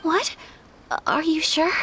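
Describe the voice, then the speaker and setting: A woman playing a gracious, gentle young noblewoman in a video game, courteous and earnest. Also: very high-pitched, fairly even intonation, slow pace, a little hiss or hum, exaggerated voice. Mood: politely curious. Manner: courteous, polished. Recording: music behind the voice.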